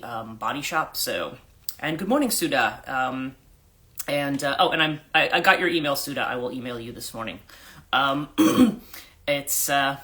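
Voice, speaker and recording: A woman hosting a casual morning livestream, her voice husky and congested from allergies, rambling with fillers and frequent throat clearing. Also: pushed and bright. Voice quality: husky, a register lower than usual. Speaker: life coach hosting a livestream.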